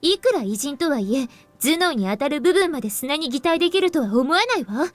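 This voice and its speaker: A woman playing a brisk, assertive, clever schoolgirl in a Japanese visual novel, explaining things emphatically. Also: sits high, sing-song, soft background music. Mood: confident, explaining.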